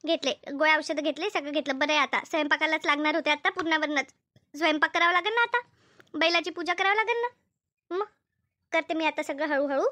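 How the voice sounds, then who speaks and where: Woman voicing a shrill, grumbling cartoon village housewife. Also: quick pace, sharp tone. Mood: reassuring. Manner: colloquial, repetitive, grumbling. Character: Shanta, complaining rural Marathi housewife and mother. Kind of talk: comedy cartoon dubbing, everyday domestic chat.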